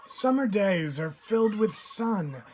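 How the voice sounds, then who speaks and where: A woman reading a rhyming children's picture book aloud with measured, expressive phrasing for young listeners. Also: mid-range voice. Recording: clear background noise, bird calls. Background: turkeys gobbling and clicks.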